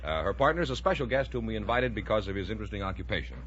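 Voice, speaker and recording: A man's voice: fairly low pitch, lively intonation, rapid-fire, fairly bright tone. An American man introducing contestants as a show announcer, formal and polite. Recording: muffled old radio recording, faint noise.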